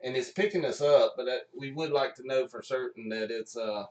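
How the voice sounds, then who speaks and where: A man's voice: mid-range voice, brisk, a little mellow, far from the mic, muffled recording. Echoey, folksy Southern US man. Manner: jokey, folksy. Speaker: livestream host and radio play performer. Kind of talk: casual joking live stream chat. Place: indoor room, group sharing one mic. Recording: echoey room, voice off from the mic.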